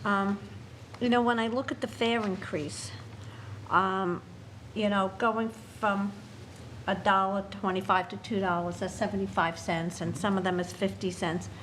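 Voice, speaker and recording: An older woman with a New York accent speaking at a city committee meeting, halting, with many fillers and restarts. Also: mid-range voice, steady tone, a bit bright, a little hiss or hum, low-quality recording. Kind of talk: public meeting discussion.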